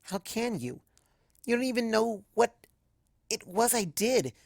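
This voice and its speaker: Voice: medium pitch, very animated, unhurried, creaky, a little mellow, no room sound, clean studio sound, pitched above the performer's own voice, full character voice. Anxious, flustered young unicorn mare acted by a narrator. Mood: uneasy, guilty. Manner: flustered, hesitant. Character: anxious, earnest young female magical unicorn pony.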